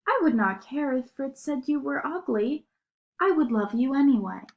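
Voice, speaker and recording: A woman's voice: high voice, lively intonation, normal speed, phone-quality sound, pitched above the performer's own voice. A woman voicing a brave, tender-hearted young girl in a fairy tale, earnest and emphatic.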